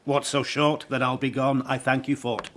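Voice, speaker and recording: An actor in a classical verse radio drama playing a grieving, middle-aged court officer, volatile and mock-courteous. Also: male voice, medium pitch, flat delivery, moderate pace, a bit bright, a little hiss or hum, lightly acted. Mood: agitated, courteous.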